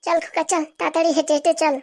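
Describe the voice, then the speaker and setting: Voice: extremely high pitch, level tone, rapid-fire. A performer voicing a doting, hopeful mother who fusses over her boy in a comedy cartoon. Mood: encouraging, fussing. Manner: fussing, encouraging.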